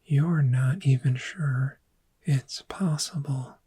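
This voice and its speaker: Whispery, low-pitched, extremely unhurried, gentle dragon therapist played by a woman, soothing ASMR guided visualization. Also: full character voice. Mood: soothing, encouraging. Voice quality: breathy, whispery. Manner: hushed, slow, deliberate, soothing. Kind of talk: soft-spoken ASMR guided imagery.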